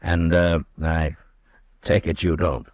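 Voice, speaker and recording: A steady frontier marshal in an old radio western, speaking in a very deep, low voice. He talks in a dry, measured, firm way. The sound is close and dry. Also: no echo. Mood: calm. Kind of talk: 1950s radio drama acting.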